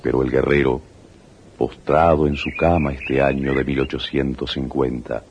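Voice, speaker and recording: A man's voice: rumbling low, level tone, normal speed, vocal fry, slight background noise. A man narrating a radio drama in a grave, poetic, declamatory style. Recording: dull 1980s radio recording, loud guitar music.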